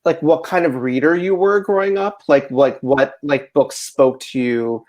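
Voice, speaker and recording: A man's voice: on the high side, a little slow, a little mellow. An American man conducting a casual interview, asking questions in a rambling, hedging way with lots of "like" and "you know.". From rural Ohio, United States.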